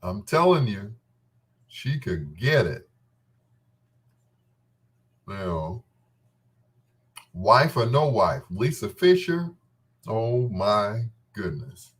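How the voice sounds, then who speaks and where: A man's voice: on the low side, very animated, extremely slow, long pauses. A man hosting a talk show with a casual, unscripted monologue, gossipy and emphatic, often repeating his phrases. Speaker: host of a bedtime talk show.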